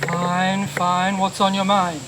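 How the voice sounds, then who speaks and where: A man's voice: high voice, completely flat delivery, slow. A man playing a genial, chummy prison warden with a sly streak in a radio detective drama. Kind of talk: mid-century radio detective drama acting. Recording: very noisy old radio recording.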